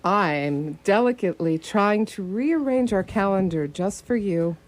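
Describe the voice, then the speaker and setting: An actress plays a witty woman doctor in a radio drama. She speaks slowly at a middle pitch, with arch formality and playful, flirtatious poise. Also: animated, natural voice. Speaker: actor in a community radio play. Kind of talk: radio theatre acting.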